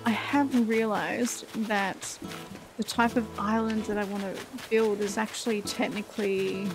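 Chatty woman in her forties, gravelly. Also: medium pitch, fairly even intonation, unhurried, music in the background. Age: 40s. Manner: chatty, self-deprecating, thinking aloud. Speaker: let's play host. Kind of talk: casual gameplay commentary.

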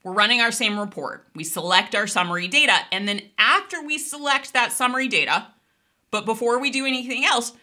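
A woman in her forties explaining a technical topic in a lively, conversational video lesson, gesturing as she talks. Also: on the high side, a little fast. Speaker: database instructor.

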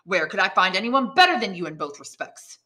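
A woman reader plays a brooding young suitor in a classic novel, jealous and rejected. The delivery is intense and pleading, with a bright edge. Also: mid-range voice, very animated, fairly quick.

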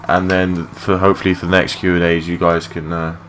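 A young man with a low voice giving rambling, matey, sweary gameplay commentary in a casual unscripted way. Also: level tone, a little fast, fairly bright tone. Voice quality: low-pitched voice. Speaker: gaming video creator. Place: his room at home. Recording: clearly noisy home mic recording.

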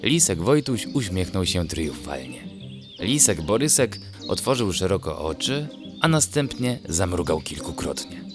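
A man narrating a children's bedtime story in an expressive storytelling manner. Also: low voice, measured pace, over music.

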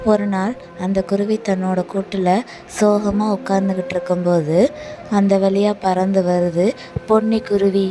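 A woman narrating a cartoon story in an even, storytelling manner. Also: medium pitch, moderate pace. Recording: light music bed.

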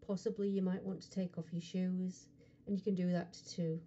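A woman hypnotherapist guiding a relaxation session in a soothing, unhurried manner, pausing often between short phrases. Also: lowish voice, level tone, warm tone, muffled recording, a little hiss or hum. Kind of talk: guided hypnosis relaxation script.

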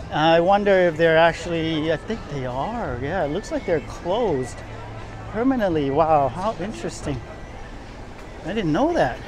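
A man giving a casual, rambling walking tour as a vlogger, often repeating and rephrasing himself as he goes. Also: on the high side. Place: outdoors, walking on downtown sidewalks. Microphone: handheld camera while walking. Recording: clear outdoor city street noise, traffic.

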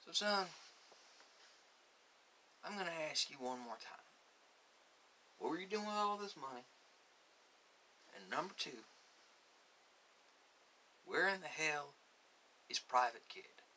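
A man playing a stern, folksy army sergeant in an ASMR interrogation roleplay, questioning a prisoner in halting, repetitive colloquial phrases. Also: a little high, expressive, sharp tone, heavily acted, low-quality recording. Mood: stern, impatient. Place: small cluttered room, indoors. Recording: hissy home recording.

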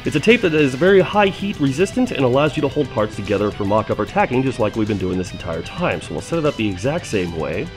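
An upbeat man in his thirties talks through a hands-on demo in a metal shop, a steady, mid-pitched voice with plenty of easygoing "uh"s. Faint guitar plays softly underneath. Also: quick pace, hardly any pauses. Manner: upbeat and chatty, with filler "uh"s. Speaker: fabrication show host. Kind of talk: casual how-to demonstration. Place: metal fabrication shop, indoors.

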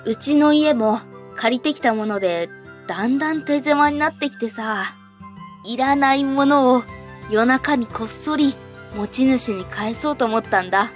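Woman acting a high, cocky tomboy witch. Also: moderate pace, soft background music, natural voice. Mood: cocky, scheming. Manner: brash, boyish rough speech. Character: cocky, mischievous tomboyish young witch girl. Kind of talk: anime-style fan voice drama.

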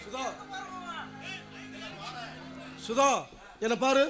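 Man acting very high-pitched, devoted husband. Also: steady tone, measured pace, sharp tone, faint music behind, exaggerated voice. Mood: coaxing, resigned. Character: tender, devoted husband consoling his wife. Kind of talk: melodramatic Tamil drama acting.

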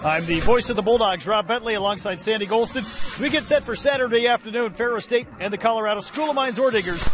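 A man's voice: high-pitched, fast, a bit bright, faint music behind. A middle-aged man hosting a sports preview with polished broadcast announcer delivery, speaking outdoors into a handheld microphone. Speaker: team play-by-play announcer and host. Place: outdoors in an open football stadium. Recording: outdoor noise behind voice.

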